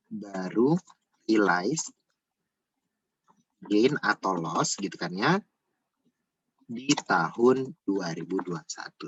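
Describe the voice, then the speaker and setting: A man's voice: on the low side, a bit bright, breathy edge, highs cut off. A man giving an informal step-by-step tutorial, repeating himself and often adding small checking tags. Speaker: accounting tutor.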